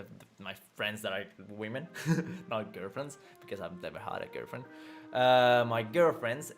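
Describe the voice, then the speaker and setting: A young man hosting a casual livestream, rambling loosely, laughing often and swearing as he answers chat. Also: medium pitch. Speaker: livestream host reading chat.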